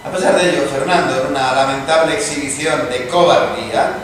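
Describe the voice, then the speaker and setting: A man playing a learned, scornful gentleman in a dramatized radio-play reading, with formal, declamatory delivery. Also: medium pitch, brisk, lightly acted. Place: live stage reading in a hall. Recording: distant echoey hall, clear noise.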